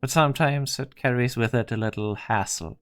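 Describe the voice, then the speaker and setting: Fan-dub casting: a man as a polite, reassuring executive, mid pitch. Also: normal speed, soft background music, lightly acted. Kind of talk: amateur fan dub acting.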